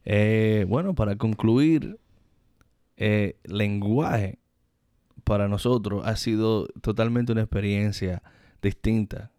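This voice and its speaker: A man's voice: on the low side, slow pace, warm tone, smooth, dry recording, studio quality. A man with a Dominican Spanish accent, talking casually and slangily as a podcast co-host, often repeating words as he goes. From Dominican Republic. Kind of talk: casual conversational chat.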